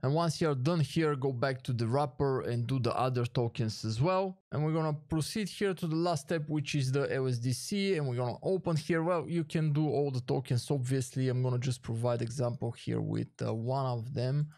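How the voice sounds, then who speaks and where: A man's voice: medium pitch, moderate pace, hardly any pauses, darkish tone, no echo, studio quality. A man hosting a casual step-by-step screen tutorial, thinking aloud with some hesitation as he demonstrates. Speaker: tutorial host.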